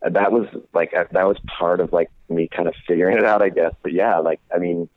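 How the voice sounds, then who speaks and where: A young adult man in casual conversation as a guest, talking haltingly with many fillers and restarts. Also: deep voice, steady tone, dry recording. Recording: tinny phone line.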